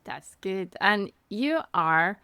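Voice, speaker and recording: A woman hosting a podcast interview who asks her guest questions in a friendly, halting way with fillers. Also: medium pitch, expressive, bright, forceful tone, clean tone, no echo. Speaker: podcast host.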